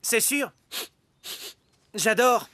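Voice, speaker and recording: A woman's voice: a little high, extremely slow, sharp tone, no echo, full character voice. A woman voicing a protective young armadillo, a bossy but caring elder sibling, firm and plain-spoken in a French-dubbed children's cartoon.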